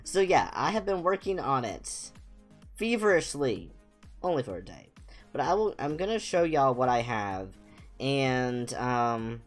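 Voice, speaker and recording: Young adult man, mid-pitched voice, casual livestream chat, rambling, self-deprecating, music behind. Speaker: livestream host. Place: small home room, indoors.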